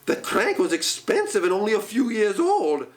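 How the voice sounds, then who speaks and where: A man's voice: high-pitched, wildly swooping pitch, moderate pace. A man voicing a frustrated cyclist arguing with his bike shop. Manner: exasperated. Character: frustrated cyclist customer, middle-aged man.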